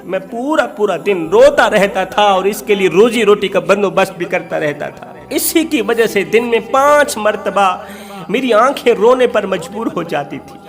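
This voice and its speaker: A man delivering an emotional Islamic sermon, telling a moral story in an impassioned, exhorting way with emphatic repeated phrases. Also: high voice. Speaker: Islamic preacher.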